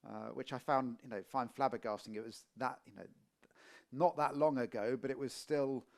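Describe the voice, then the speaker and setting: A man from Portsmouth with a Middle England accent, hosting a casual interview and telling relaxed anecdotes. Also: medium pitch, moderate pace, vocal fry, noisy background. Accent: Middle English. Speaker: interviewer, podcast host.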